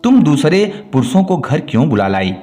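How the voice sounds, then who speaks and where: Male narrator as storybook king, formal, curious, questioning, lively pitch, light music underneath. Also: moderate pace. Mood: inquiring. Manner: formal, inquiring. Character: curious, fair-minded king questioning a subject.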